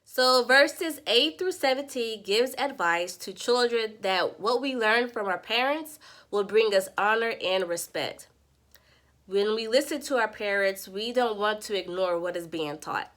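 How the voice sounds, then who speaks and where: A woman's voice: mid-range voice, unhurried, a bit bright. A woman who sounds congested from a sinus infection, earnestly summarizing scripture in a devotional vlog. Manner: earnest, preacherly teaching. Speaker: Bible study vlogger.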